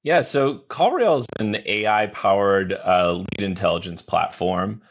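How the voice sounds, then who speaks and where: A man's voice: lowish voice, lively intonation, a little slow, slightly rough. A man speaking as an executive guest on a podcast, explaining things in a conversational way.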